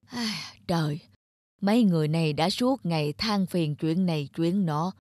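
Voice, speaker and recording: A woman voicing a grumpy, aloof older man, a disapproving neighbour in an animated cartoon. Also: lowish voice, normal speed, no room sound, clean studio sound, lightly acted. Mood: grumbling, dismissive. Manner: grumbling, disapproving. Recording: music under the voice.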